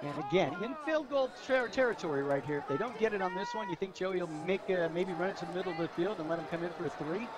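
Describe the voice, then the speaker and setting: A man with an American accent and a high-pitched voice adds color commentary to a live football broadcast. He talks informally and conversationally, analyzing the game between plays. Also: fast, few pauses.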